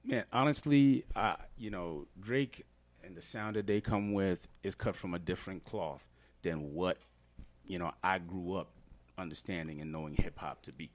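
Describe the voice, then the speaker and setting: Deep-voiced man from Trinidad and Tobago. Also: moderate pace. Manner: earnest, explanatory, many fillers. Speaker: guest hip-hop artist. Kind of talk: casual podcast interview.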